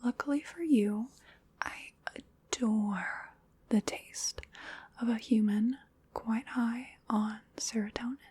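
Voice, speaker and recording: A woman performs a female vampire in an ASMR roleplay, speaking in a very breathy whisper at an unhurried pace. She is chatty and wryly polite, with a playful menace. Her soothing delivery dotes on her prey. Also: fairly high pitch, steady tone, a little mellow, dry recording, heavily acted. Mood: coaxing, smug.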